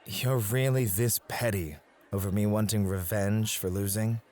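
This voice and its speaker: A man as a possessive, low-voiced male club host. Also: fairly even intonation, measured pace, darkish tone, close to an everyday voice. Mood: wry, petty. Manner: affectionate, teasing. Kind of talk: ASMR roleplay acting.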